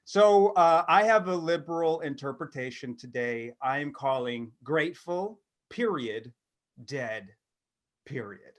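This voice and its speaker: A man in his thirties with a somewhat high voice. He talks like a comedy podcast co-host, wry and self-deprecating, with a halting, casual delivery. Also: lively intonation. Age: 30s. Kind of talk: casual comedic monologue and chat.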